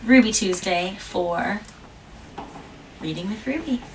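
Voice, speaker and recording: A woman reading a children's picture book aloud, gentle, with dramatic whispers and asides. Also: mid-range voice, slow pace. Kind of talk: read-aloud storytelling. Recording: distant mic with background hiss.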